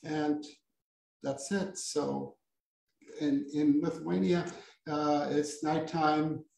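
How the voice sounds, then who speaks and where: Creaky-voiced man hosting, echoey audio. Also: fairly high pitch, level tone, extremely slow, darkish tone, a little hiss or hum. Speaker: seminar moderator. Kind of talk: informal academic hosting.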